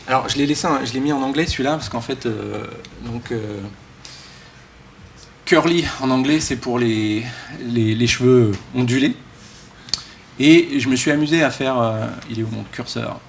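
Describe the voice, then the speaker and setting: A man speaks informally as a conference keynote speaker, joking and halting with many false starts. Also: medium pitch, vocal fry. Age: early 40s. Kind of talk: casual, joking conference talk. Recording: live talk with background room noise.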